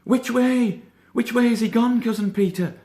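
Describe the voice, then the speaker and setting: A man's voice: very high-pitched, moderate pace, darkish tone, echoey room, voice pushed up. A man voicing a distraught, panicking father rabbit in a children's storybook, urgent and pleading. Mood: desperate urgency.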